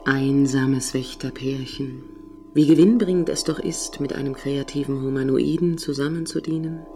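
A woman's voice: rumbling low, steady tone, normal speed, mellow, soft background music. A woman playing a coolly precise station computer. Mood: wry. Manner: formal, matter-of-fact, dryly mocking. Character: cool, precise female AI of a space station, subtly jealous. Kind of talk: science fiction audio drama acting.

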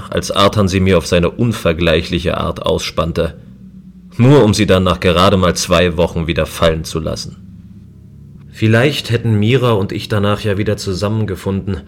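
A man's voice: deep voice, a little hiss or hum, lightly acted. An actor playing a lonely, wry male space station guardian who narrates in a reflective, dry way in a scripted audio drama.

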